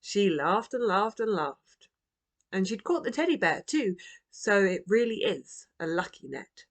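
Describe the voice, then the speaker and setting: A woman voicing a gentle, wistful old grandfather in a children's storybook, in a quiet, measured, tender way. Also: medium pitch, sing-song. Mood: amused, reminiscing.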